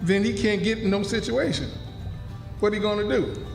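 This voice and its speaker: Guy acting a high voiced folksy runaway slave, kindhearted n stubborn, radio play in echoey hall. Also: moderate pace, gravelly. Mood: curious, puzzled. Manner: folksy, homespun rural dialect delivery. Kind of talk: stage radio drama acting. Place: live stage in a hall. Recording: echoey hall, music behind.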